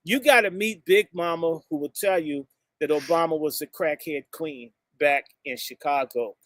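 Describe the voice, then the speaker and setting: Brief: high-voiced, sharp-toned American man, fiery and insulting, working a live crowd. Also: moderate pace, no room sound. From United States. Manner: fiery and emphatic, drawing out key words; blunt and insulting, jumps between topics. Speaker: speaker addressing a gathering. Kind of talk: impassioned speech to a live audience.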